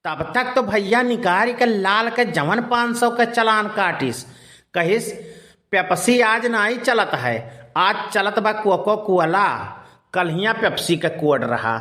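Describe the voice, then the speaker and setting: Man acting a cartoon village uncle, Awadhi accent, high pitch, bright voice, folksy rambling storyteller. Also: lively intonation, takes it slowly, full character voice. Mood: folksy storytelling. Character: folksy village uncle telling tall anecdotes. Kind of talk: cartoon storytelling in rustic dialect.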